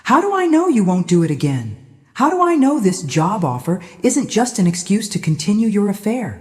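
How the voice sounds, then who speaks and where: A story narrator voicing a shrill, spiteful, snobbish daughter-in-law who accuses and dismisses people. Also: very animated, fairly quick, a little mellow, in a large hall, close to an everyday voice. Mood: accusing. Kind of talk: audio drama-style story narration.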